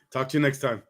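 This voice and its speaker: A man hosting a podcast, chatting casually and thinking aloud in a friendly way, hedging with "you know". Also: medium pitch. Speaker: podcast host. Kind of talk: casual conversation.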